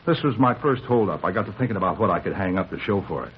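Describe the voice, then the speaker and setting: An actor playing a tough, self-justifying American bar owner shaken by a robbery, in clipped, streetwise 1950s radio police drama style. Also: male voice, fairly low pitch, lively intonation, fast talker, natural voice. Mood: animated recounting. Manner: clipped sentences, boastful, defensive, streetwise slang. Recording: muffled, hissy 1950s radio recording.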